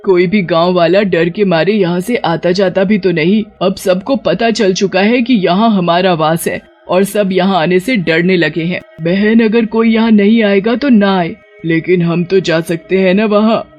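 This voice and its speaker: A woman's voice: on the low side, a little slow, few pauses, darkish tone. A gleefully predatory cartoon witch, acted by a woman taunts and gloats with hungry relish. Her lines are drawn out and sly. The sound is slightly dull, with music behind. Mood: hungry, scheming. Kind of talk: animated Hindi moral story.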